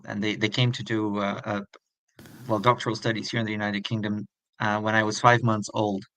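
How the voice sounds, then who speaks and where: A man in his forties, originally from Brazil and a native Portuguese speaker, talking through his story as an interview guest. His voice is bright and forward, recorded close and dry. He searches for words, often repeating himself before going on. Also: fairly low pitch, clear, resonant tone, no room sound, rough recording. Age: 40s. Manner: thoughtful, many restarts and repeated words.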